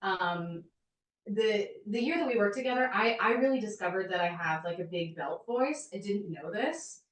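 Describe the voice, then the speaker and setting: A woman's voice: medium pitch, lively intonation, moderate pace, slight background noise. A woman from a shanty singing group talking in a casual interview, chatty and eager, with many "like"s. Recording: echoey, from far off the mic.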